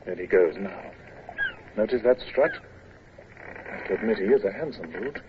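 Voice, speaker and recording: A man's voice: on the low side, animated, normal speed, lightly acted. An actor playing a friendly midshipman warning a newcomer, in an old radio drama. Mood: confiding. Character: friendly young male midshipman, frank and helpful. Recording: lo-fi old radio broadcast with hiss.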